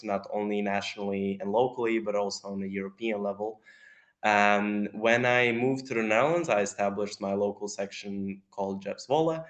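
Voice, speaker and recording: Pria muda beraksen Latvia, suara rendah. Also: male voice, on the low side, dull audio. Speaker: election candidate. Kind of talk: campaign speech on a video call. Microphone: video call.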